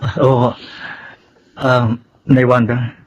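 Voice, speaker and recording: Tender young brother, dull radio sound. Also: male voice, medium pitch, little pitch movement, extremely slow. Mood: light, fond. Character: gentle young son, a caring older brother. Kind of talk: Philippine radio drama acting.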